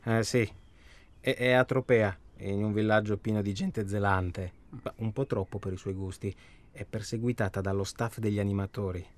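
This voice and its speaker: An actor playing a nervous, chatty middle-aged husband in a naturalistic radio drama, hesitant and rambling, repeating himself and seeking agreement. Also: male voice, lowish voice, steady tone, normal speed, lightly acted. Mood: chatty, sheepish.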